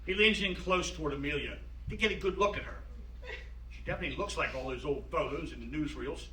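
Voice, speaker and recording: A man's voice: on the high side, quick pace, sharp tone. A man reading stage directions as scripted narration for a staged play reading. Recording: echoey room, distant mic, noisy.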